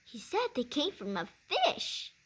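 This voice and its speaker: A woman's voice: sits high, huge pitch swings, measured pace, a bit bright. A woman reading a children's science book aloud, stumbling over words now and then and rereading phrases. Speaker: reader of a children's nonfiction book. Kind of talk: read-aloud of a science book with casual asides. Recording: clearly noisy amateur recording.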